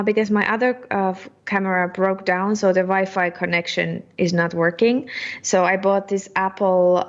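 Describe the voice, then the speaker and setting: A woman in her thirties from Finland, answering interview questions in a casual, halting way with many fillers. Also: fairly low pitch, lo-fi sound. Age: 30s. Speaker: guest, partner sales director.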